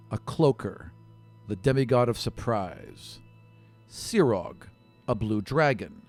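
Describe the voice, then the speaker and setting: Man acting a solemn, measured temple archon. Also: mid-range voice, a little mellow. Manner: measured, formal, deliberate. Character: solemn male archon, elder instructor of demigod students. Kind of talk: tabletop roleplay acting.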